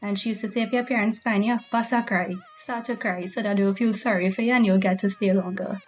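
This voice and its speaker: A woman's voice: medium pitch, fairly even intonation, a little fast. A woman speaking in a personal farewell tribute as a grandchild remembering a grandmother.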